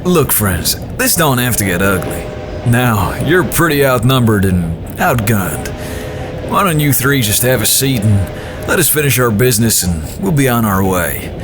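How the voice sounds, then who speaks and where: A man's voice: mid-range voice, very animated, a little slow. A man playing a bossy, exasperated train robber in a Western audio drama, with condescending false politeness. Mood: impatient threat. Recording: music bed behind the voice.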